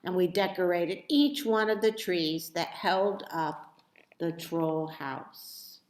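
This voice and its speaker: A woman reading a children's storybook aloud as expressive narration, with dramatic, deliberate phrasing. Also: fairly low pitch. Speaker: storybook reader. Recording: echoey room.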